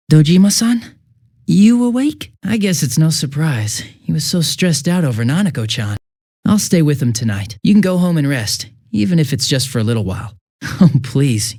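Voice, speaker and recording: A hapless young police detective for a video game. His voice sits fairly high and swings in lively fashion, with a chatty, flustered, rambling delivery. The recording is dry and close. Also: no echo. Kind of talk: English video game voice acting.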